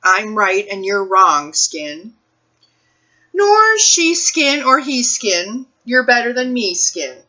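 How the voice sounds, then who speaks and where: A woman hosts a storytime for young children and their families. Her voice is bright and forward, with an expressive, unhurried delivery. The recording is slightly dull. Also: fairly high pitch. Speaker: children's librarian hosting storytime. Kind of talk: picture book read-aloud and talk to families.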